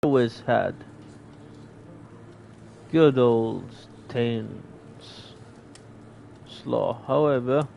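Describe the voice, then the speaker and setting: Gamer guy reading game text slow, gravelly voice, stops to explain words. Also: mid-range voice, dark tone, slight background noise, rough recording. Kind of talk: casual gameplay commentary.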